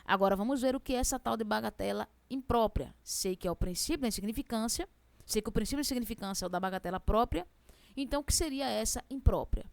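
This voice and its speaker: A young woman with a strong regional accent teaching law for civil service exams, fast-talking and chatty, asking questions and answering them herself. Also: a little high, creaky, a little mellow, dry recording. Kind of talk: exam prep lesson.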